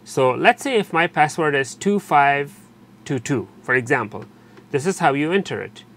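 A man narrating an unscripted step-by-step technical tutorial in a halting, explanatory way, repeating and rephrasing as he goes. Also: fairly high pitch. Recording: steady background noise, room ambience.

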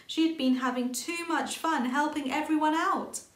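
A woman's voice: high voice, normal speed. A woman in her thirties reading a children's story aloud expressively, indoors at a short distance from the camera. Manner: expressive reading with character lines. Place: indoor room with bookshelves. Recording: roomy home recording.

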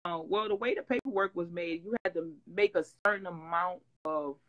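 Guest: rough-voiced woman, 40s, lively storyteller on a home video call. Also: fairly low pitch, moderate pace, highs cut off. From Detroit. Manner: animated storytelling, loud swings, laughs. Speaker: interview guest, former reality-show singer. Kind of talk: casual chat. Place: home room with green wall backdrop, video call.

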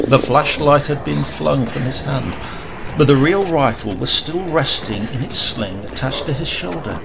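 A man giving a dramatic reading of a horror story, often dropping to a hushed whisper. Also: medium pitch, gravelly. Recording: loud steady hiss behind the voice.

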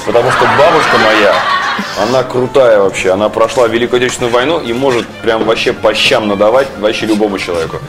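A man joking in deadpan fashion and spinning tall tales on a TV cooking show. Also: lowish voice, fast, music in the background. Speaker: comedy team guest. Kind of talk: joking studio banter. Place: studio kitchen set.

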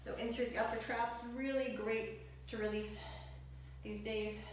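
A woman's voice: on the high side, fairly even intonation, measured pace, a little hiss or hum. A young woman fitness instructor giving breezy, encouraging workout tips and demonstrating a product kit in a home gym. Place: home gym room with bare walls. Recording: echoey room, voice from a distance.

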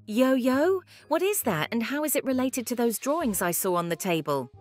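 Woman as a lively, curious young cartoon chicken boy. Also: a little high, moderate pace, faint music behind, natural voice. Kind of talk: amateur comic dub voice acting.

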